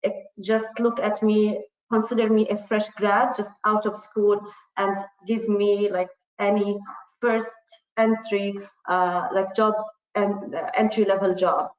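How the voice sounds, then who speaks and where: A woman's voice: mid-range voice, flat delivery, measured pace, soft background music. A woman whose first language is Arabic, speaking earnestly as a panelist with many fillers and restarts. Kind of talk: panel discussion. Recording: muffled, roomy.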